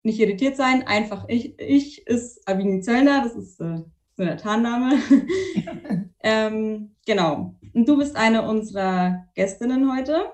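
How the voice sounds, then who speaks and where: A woman's voice: a little high, lively intonation, a little slow, darkish tone. A woman moderating a panel in a casual, friendly way, laughing easily. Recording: distant mic in echoey room.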